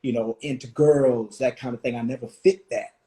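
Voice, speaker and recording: A man's voice: mid-range voice, fairly even intonation, normal speed, mellow. Reflective man in his forties. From Washington, D.C. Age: 40s. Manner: thoughtful, many fillers and restarts. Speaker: host. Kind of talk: reflective conversation.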